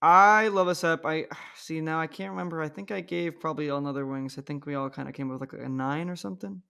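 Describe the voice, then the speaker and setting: A male podcast co-host with a fairly high voice and plenty of gravelly fry. He chats casually and hesitantly, working out his thoughts as he speaks. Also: steady tone, quick pace, sharp tone. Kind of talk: casual chat.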